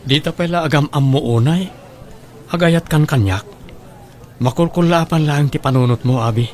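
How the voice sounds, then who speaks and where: A man's voice: fairly high pitch, moderate pace, a little mellow, lightly acted. A man playing a reserved, plain-spoken young man with an Ilocano Filipino accent in a radio drama. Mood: surprised, dismissive.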